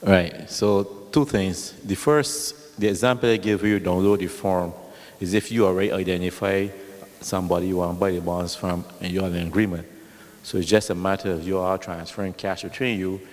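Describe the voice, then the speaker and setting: A loud-voiced man answering a question at a meeting, patiently explaining with worked examples. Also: sits low, fairly even intonation, normal speed. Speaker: official explaining bonds. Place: meeting hall. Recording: long reverb in a hall.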